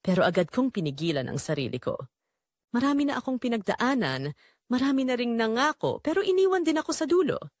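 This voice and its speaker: A woman's voice: a little high, sing-song, fairly quick, no room sound, clean studio sound. A woman playing a struggling single mother, weary but hopeful, narrating a confessional first-person audio drama to her listeners in an emotive way. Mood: guarded, hopeful.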